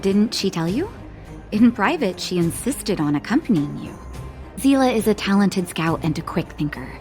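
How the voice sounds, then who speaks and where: A woman playing a calm, assured resistance leader in a video game, poised and measured. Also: mid-range voice, soft background music, lightly acted.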